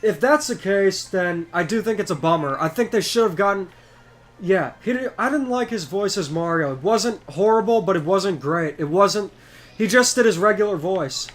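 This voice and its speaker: A man chatting casually while gaming, giving opinions freely and firing off quick questions. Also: high-pitched, expressive.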